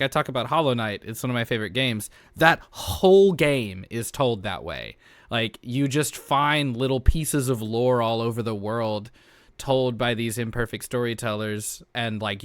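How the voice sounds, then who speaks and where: A man's voice: medium pitch, fairly bright tone, no echo, studio quality. A man co-hosting a podcast in casual chat, enthusiastic and slangy, thinking aloud in short bursts. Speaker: podcast co-host and tabletop player.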